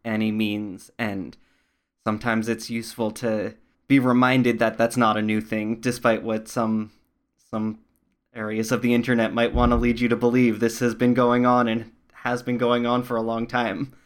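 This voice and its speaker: A man interviewing someone in a thoughtful, measured and tentative conversational way. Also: mid-range voice, little pitch movement.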